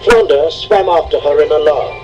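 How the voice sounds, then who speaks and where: A man's voice: on the high side, normal speed, dull audio. A man narrating a scripted children's read-along story. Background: prominent music.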